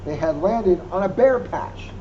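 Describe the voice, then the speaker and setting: A police officer reading a children's picture book aloud, adding wry asides. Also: male voice, high-pitched, expressive, unhurried, mellow. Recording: muffled lo-fi recording with faint hum.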